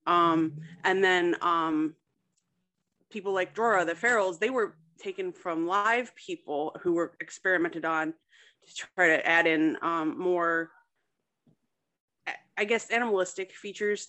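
A woman's voice: on the low side, fairly bright tone. A woman guest author speaking casually in an interview, hesitantly piecing her explanations together. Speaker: guest author discussing own story.

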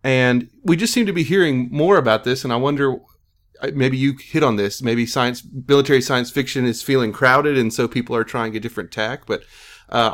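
A man's voice: mid-range voice, a bit bright. A man hosting a discussion with authors, putting questions conversationally and hesitantly as he searches for his wording. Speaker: podcast host interviewing authors.

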